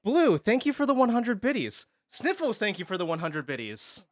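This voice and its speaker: A man's voice: sits high, wildly swooping pitch, normal speed, no echo. A man streaming casually, thanking viewers and joking around. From Hawaii. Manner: goofy, joking, slips into singing.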